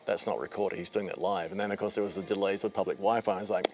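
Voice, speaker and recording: A man's voice: low-pitched, fairly even intonation, very fast, darkish tone. A man in his forties hosting a conference interview, asking long, rambling questions in an enthusiastic, chatty way, recorded on a lapel mic in a conference room. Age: 40s. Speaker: interviewer host. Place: conference room with a curtain backdrop.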